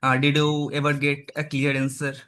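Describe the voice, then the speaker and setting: A man's voice: fairly high pitch, completely flat delivery, normal speed, a bit bright, smooth. A man hosting a video call interview, asking questions hesitantly with many uhs and simple phrasing. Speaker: interviewer host.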